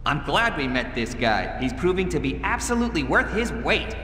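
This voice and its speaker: A man voicing a plucky, wisecracking yellow cartoon hero in a video game, with dry, cocky sarcasm. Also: a little high, very animated, moderate pace, a little hiss or hum. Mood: exasperated, sarcastic. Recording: loud game music behind voice.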